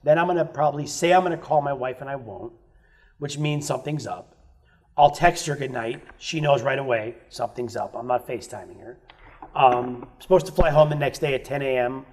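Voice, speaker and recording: A man's voice: medium pitch, brisk, darkish tone. A man on a podcast telling candid stories about his past, swearing freely and acting out other people's lines. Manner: frank, swears freely, acts out quoted voices. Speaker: guest musician. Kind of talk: candid storytelling in casual chat.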